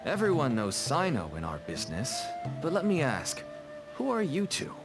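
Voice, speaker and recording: A man's voice: mid-range voice, huge pitch swings, normal speed. An actor playing a nervous, ingratiating merchant father with a shady trade in a video game. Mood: cautioning. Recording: game music behind the voice.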